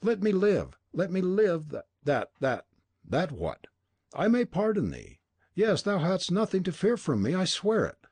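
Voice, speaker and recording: Man reading a desperate old miser, raised and strained, husky edge. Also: high voice, huge pitch swings, measured pace, darkish tone, no echo, voice pushed up, exaggerated voice. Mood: pleading. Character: frantic old male miser, pleading. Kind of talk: audiobook dialogue.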